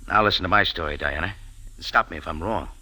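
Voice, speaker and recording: An actor playing a wry, tough adventurer in an old radio drama, sardonic and punchy in dialogue and narration. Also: male voice, low voice, quick pace, sharp tone, exaggerated voice. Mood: sardonic, accusing. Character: wry, tough hard-boiled adventurer hero. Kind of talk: 1940s-50s radio detective acting and narration.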